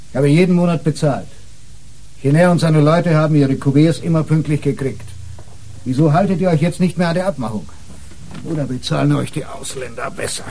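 An actor playing a bitter, menacing, ageing gang boss with a blunt, contemptuous way of talking in a radio play. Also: male voice, a little high, mellow, slight background noise, poor audio. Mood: menacing, indignant. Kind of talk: radio drama acting.

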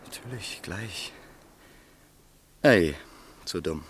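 An actor playing a relieved man freed from a false identity, talking in a rambling, halting way. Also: sits low, animated, fairly bright tone, slightly rough, full character voice.

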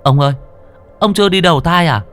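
A man voicing a frightened, naive young boy who calls out high and pleading. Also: quick pace, fairly bright tone, soft background music, lightly acted. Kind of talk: audio horror storytelling.

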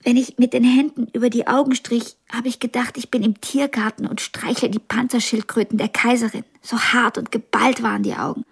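An actress in a radio drama playing a blind young pianist, wounded yet ambitious and frank, with a halting, intense delivery. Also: female voice, fairly high pitch, little pitch movement, vocal fry, husky. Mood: suffering.

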